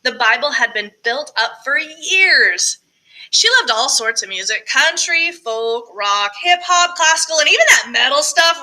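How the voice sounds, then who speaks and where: A woman's voice: high voice, bright, forceful tone, poor audio. A woman reading a first-person story aloud with expression, as an audiobook narrator. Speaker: audiobook reader, choir director.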